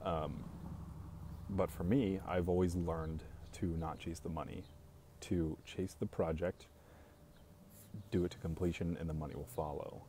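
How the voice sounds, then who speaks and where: A young man hosting a casual live stream, talking in a calm, even monotone, slow and reassuring toward his viewers. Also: deep voice, slight background noise. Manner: speaks slowly and reassuringly to viewers.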